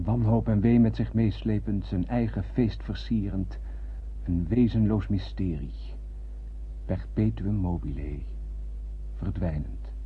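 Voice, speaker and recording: An actor playing a haunted lone survivor of a space voyage, narrating solemnly and poetically in a radio drama. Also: male voice, fairly low pitch. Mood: desolate. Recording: noisy, thin, phone-like old radio recording.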